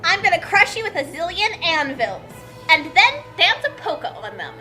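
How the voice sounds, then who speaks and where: High-voiced woman, playfully mock-dramatic. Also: big pitch swings, moderate pace, sharp tone. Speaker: co-host. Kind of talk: comedic banter. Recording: music playing behind. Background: music.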